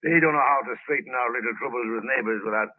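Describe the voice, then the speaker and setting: An actor playing a nosy, stubborn, folksy police sergeant neighbor in a 1930s radio drama. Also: male voice, mid-range voice, fast talker, narrow, tinny audio, lightly acted. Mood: folksy, confiding. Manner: folksy, garrulous, colloquial phrasing. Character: genial, talkative, nosy Irish-American police sergeant neighbor turned stubborn. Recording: band-limited vintage 1930s radio recording.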